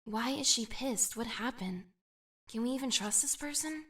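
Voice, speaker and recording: A woman voicing an earnest young woman who speaks softly and hesitantly. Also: on the high side, moderate pace, far from the mic, lightly acted. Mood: anxious. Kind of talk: fan animation voice acting.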